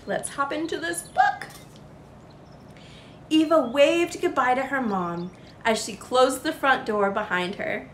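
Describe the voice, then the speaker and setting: A young woman reading a children's story aloud with expressive, sing-song emphasis. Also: high voice, slow, long pauses, roomy sound, a little hiss or hum.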